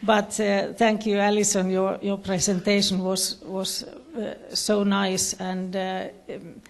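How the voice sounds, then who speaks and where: Creaky-voiced Finnish woman presenting haltingly. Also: mid-range voice, flat delivery. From Finland. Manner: halting, searching for words in a second language. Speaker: conference speaker, education official. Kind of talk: presentation to an audience with slides.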